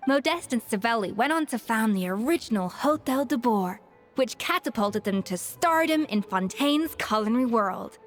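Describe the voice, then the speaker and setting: An actress playing a proud, exacting chef in a video game, speaking precisely and with haughty self-assurance. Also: female voice, on the high side, lively intonation, moderate pace, faint music behind, natural voice. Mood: storytelling.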